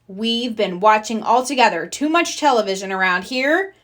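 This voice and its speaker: A woman's voice: a little high, animated, normal speed, fairly bright tone. A woman voicing a firm, no-nonsense mother bear in a children's storybook, in a matter-of-fact manner.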